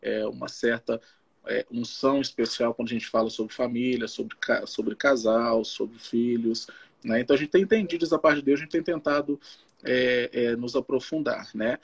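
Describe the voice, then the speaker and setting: A man with a husky voice, speaking informally as a missionary pastor in a halting way, often checking in with his listener. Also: medium pitch. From Belo Horizonte, Brazil. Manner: halting, frequent tag questions to the listener. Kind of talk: informal testimony and Bible teaching. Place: live social media video call.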